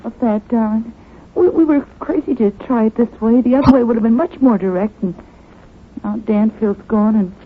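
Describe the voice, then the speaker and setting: An actress playing a discontented, scheming wife in a melodramatic 1940s radio drama. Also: female voice, fairly high pitch, normal speed, husky. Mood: bitter, worried. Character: discontented, scheming wife trapped by a cruel husband. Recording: muffled, tinny old radio recording.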